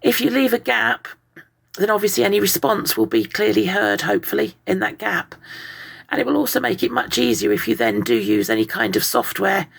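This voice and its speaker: A woman with a croaky, hoarse voice from a summer cold, patiently explaining ghost-hunting methods to listeners. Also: medium pitch, very animated, fairly quick. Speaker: guest presenter on ghosts. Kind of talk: solo talk to listeners.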